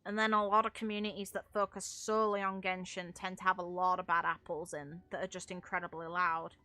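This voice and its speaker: A woman's voice: lowish voice, level tone, a little fast, pushed and bright, dry recording. A British woman chatting casually while streaming a game, with a blunt, opinionated manner and some mild swearing.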